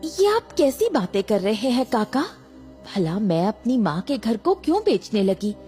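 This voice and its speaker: Gentle, remorseful young mother, played by a woman for cartoon horror: soft dark tone, earnest, over light music. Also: fairly high pitch, sing-song, a little slow. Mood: puzzled, defensive. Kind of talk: animated horror story acting. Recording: background music.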